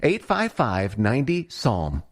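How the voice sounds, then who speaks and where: A man with a medium-pitched voice reads a commercial in a conversational way. His phrasing is persuasive, with emphasis on key words. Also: wildly swooping pitch, a little slow. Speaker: commercial voice-over. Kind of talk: conversational scripted radio ad.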